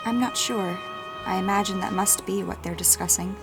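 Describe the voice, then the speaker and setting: Actress as genteel fantasy lady, mid-pitched, gentle and considered, music clearly underneath. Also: fairly even intonation, moderate pace, natural voice. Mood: polite. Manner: polite, genteel, thoughtful. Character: gentle, well-spoken woman fond of a foundling. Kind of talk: fantasy audio drama acting.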